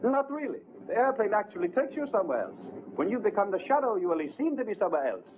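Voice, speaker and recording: Male, serene philosopher sidekick, vintage film: high register, fast, reflective, narrow noisy soundtrack. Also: sing-song. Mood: musing. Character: serene, philosophical companion musing on illusion. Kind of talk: 1950s film acting.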